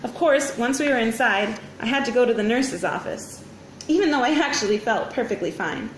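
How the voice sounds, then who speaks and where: A woman reading a children's story aloud in an expressive, dramatic teacher's storytelling manner. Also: a little high, normal speed. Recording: roomy echo, faint background noise.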